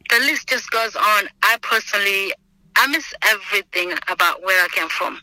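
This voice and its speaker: A woman's voice: mid-range voice, normal speed, sharp tone, rough recording. A woman, answering oral history questions earnestly over a remote call. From Senegal. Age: 20s. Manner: earnest, thoughtful, with frequent ums and restarts. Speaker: interviewee, student. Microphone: remote video call.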